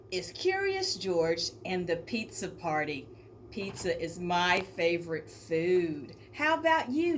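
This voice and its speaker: A woman reading a children's storybook aloud like an elementary teacher, speaking expressively to young listeners with drawn-out emphasis. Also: lowish voice, slight background noise.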